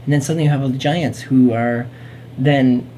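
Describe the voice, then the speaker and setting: A woman's voice: extremely low pitch, steady tone, normal speed, dark tone, slight background noise. A woman hosting a talk show in casual, playful chat, rambling along in a whimsical way.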